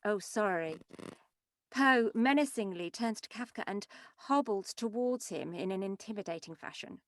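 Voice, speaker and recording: A woman's voice: mid-range voice, expressive, normal speed. A woman reading an animation script's stage directions as narrator at a table read, close on the mic.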